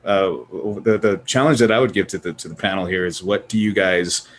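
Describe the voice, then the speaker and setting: Brief: male moderator, lowish voice, faint hiss behind, loose and laughing. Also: fairly quick. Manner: animated, laughing, rambling with restarts. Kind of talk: casual panel chat.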